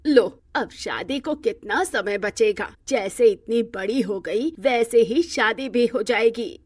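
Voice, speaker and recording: A woman's voice: fairly high pitch, slow, no echo. A woman playing an affectionate, eager old grandmother in a cartoon moral story, speaking in an emphatic, coaxing way.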